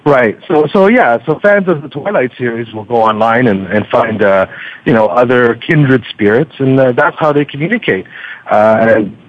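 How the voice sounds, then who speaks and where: A man talking as an interview guest in a conversational, halting way, often repeating words mid-sentence. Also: medium pitch, no echo. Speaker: podcast guest, book reviewer. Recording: dull, lo-fi radio audio.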